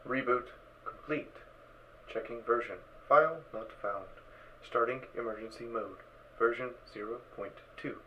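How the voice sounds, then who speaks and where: A man voicing a malfunctioning talking spacesuit computer in a fan audio drama, giving clipped, monotone, clinical system announcements. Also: mid-range voice, a little slow, heavy background noise, natural voice.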